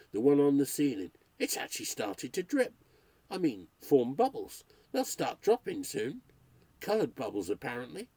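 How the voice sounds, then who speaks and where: A man's voice: mid-range voice, warm tone, no echo. A man reading as a rattled radio announcer. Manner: rambling, nervy chatter addressed to listeners. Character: male radio announcer alone in a haunted house, cracking up. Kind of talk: read-aloud ghost story.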